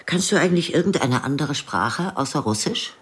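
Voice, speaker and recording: An actress playing an elderly village widow in a radio drama, plainspoken and stubborn, with a dry, blunt manner. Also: female voice, low-pitched, lively intonation, normal speed, slightly rough. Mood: scolding, questioning. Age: older. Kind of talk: radio drama narration and dialogue.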